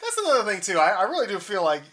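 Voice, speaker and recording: A man's voice: high-pitched, huge pitch swings, rapid-fire, a bit bright. A man co-hosting a podcast in casual chat, giving his opinions with enthusiasm. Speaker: podcast co-host. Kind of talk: casual chat about game movies.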